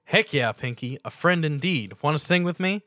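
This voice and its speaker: An awkward young fanboy of a cartoon pony, played by a man reading aloud. He stammers and hesitates, his pitch moves around a lot, and the sound is dry. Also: animated, moderate pace, sharp tone, no echo. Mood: cheerful. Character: geeky, awkward young male fan turned cartoon pony. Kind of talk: dramatic fan fiction reading.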